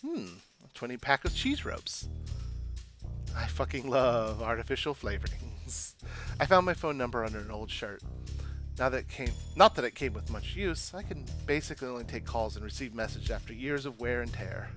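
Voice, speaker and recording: A man's voice: medium pitch, expressive, moderate pace, a bit bright, soft background music, close to an everyday voice. Man as wry, awkward young slacker woman. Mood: wry, rambling. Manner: wry, self-deprecating. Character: awkward, sardonic young slacker woman narrating her adventure.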